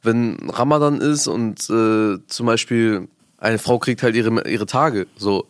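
A man's voice: lowish voice, takes it slowly, dry recording. Young man chatting informally, dry close sound. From Afghanistan. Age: young adult. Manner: informal youth slang, frequent check-in questions. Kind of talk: casual conversational discussion.

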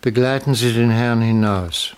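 An actor playing a genteel, coldly commanding syndicate chief with a formal, terse manner in a German radio crime drama. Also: male voice, medium pitch, fairly even intonation, unhurried, smooth, natural voice. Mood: cool, commanding.

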